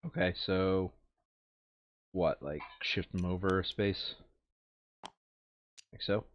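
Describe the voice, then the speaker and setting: A man's voice: low-pitched, fairly even intonation, takes it slowly. A man chatting casually and thinking aloud as he plays a board game, sometimes muttering to himself, on a slightly dull lo-fi microphone. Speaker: board game player recording a playthrough.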